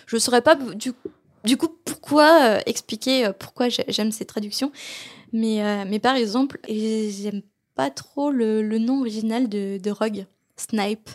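A woman's voice: fairly high pitch, measured pace. A woman co-hosting a podcast in casual, playful conversation. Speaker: podcast host. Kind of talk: casual panel chat.

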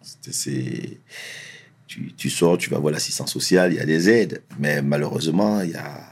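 A man who grew up in France, telling his life story in a relaxed, slangy, candid way as an interview guest. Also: a bass voice, normal speed, vocal fry, husky.

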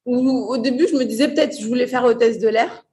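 A woman's voice: on the high side, quick pace, warm tone, a little hiss or hum. A young woman from Portugal talking about her life as an interview guest, hesitant, with many fillers and restarts. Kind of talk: casual interview chat.

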